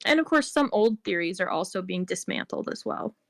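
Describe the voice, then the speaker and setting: A woman's voice: mid-range voice, animated, fairly quick, creaky, no echo. A woman with a mid-range voice talks as a podcast guest, explaining her answers at length and filling gaps with "like" and "um". The sound is dry and close, with no room around it. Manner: explains at length, with many fillers like "like" and "um". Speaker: interview guest. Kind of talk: casual explanatory interview talk.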